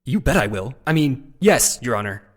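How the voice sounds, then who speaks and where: A man's voice: mid-range voice, very animated, normal speed, background noise, lightly acted. A man playing an earnest, sardonic young defense lawyer in a video game courtroom drama, with emphatic delivery. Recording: music behind the voice.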